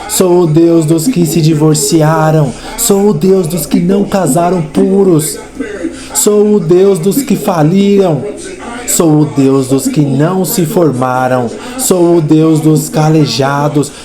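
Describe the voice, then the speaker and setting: The high, urgent male preacher you would hear in a dubbed televised sermon, hammering phrases again and again over swelling music, as a man acts it out. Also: close to an everyday voice. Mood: fervent proclamation. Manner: emphatic, rhetorical repetition. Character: fervent male preacher exhorting a congregation. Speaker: voice actor dubbing a preacher.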